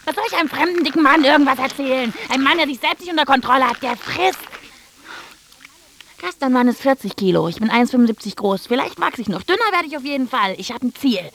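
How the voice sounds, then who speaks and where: Casting: defiant teenage girl, detached and scornful, higher voice, radio drama, faint noise behind. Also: expressive, quick pace. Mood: scornful, determined. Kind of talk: literary radio drama monologue.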